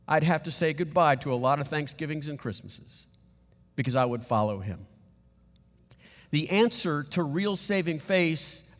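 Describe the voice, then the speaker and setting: Man, pastor preaching, emphatic, repeats himself, slightly high voice, long hall reverb. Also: fairly bright tone. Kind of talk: sermon.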